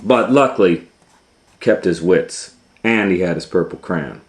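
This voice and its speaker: Man, very low voice, unhurried pace, children's storybook reading, informal musing delivery. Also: expressive. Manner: improvised asides and musing hums. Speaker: storytime host and reader.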